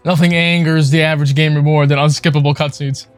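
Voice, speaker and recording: A man's voice: fairly high pitch, flat delivery, fast, clean tone, dry recording. A man livestreaming a video game with casual, foul-mouthed, exasperated commentary. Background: video game music.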